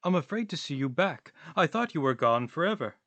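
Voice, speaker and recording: A man's voice: a little high, sing-song, fairly quick, a bit bright, dry recording, lo-fi sound, pitched above the performer's own voice. A man playing a talkative, philosophical vagrant in a radio play, with a wordy, pompous, theatrical delivery. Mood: musing, hearty.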